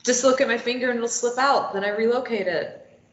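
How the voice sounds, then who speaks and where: A woman's voice: a little high, a little fast, natural voice. A woman in a script table read, playing a snappy, sarcastic and desperate captive who argues back. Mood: determined. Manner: snappy, argumentative. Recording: roomy, dull lo-fi sound.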